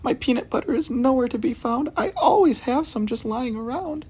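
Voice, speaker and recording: A man voicing a fussy elderly female house cat in a storybook read-aloud, with a high, fretful voice. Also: animated, normal speed, darkish tone, slightly rough, full character voice. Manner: shrill and fretful.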